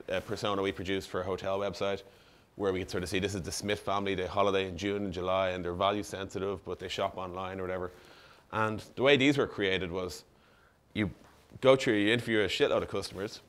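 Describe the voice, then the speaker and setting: A man's voice: deep voice, a bit bright. A man in his thirties with a slightly worn voice gives an informal talk on stage, casual and full of asides and quoted dialogue. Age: 30s. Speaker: startup founder giving a conference talk. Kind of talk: informal presentation to an audience. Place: on stage in an indoor event room. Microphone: lapel mic.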